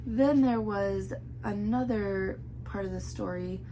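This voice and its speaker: A young woman with a hoarse, worn-out voice, chatting casually through a book review with lots of filler words. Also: medium pitch, slow pace, warm tone, slight background noise, rough recording. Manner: chatty, many "like" fillers, earnest.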